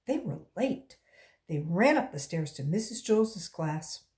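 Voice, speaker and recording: A woman reading a children's story aloud in an expressive, deliberate storytelling way. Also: on the low side. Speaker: volunteer storybook reader.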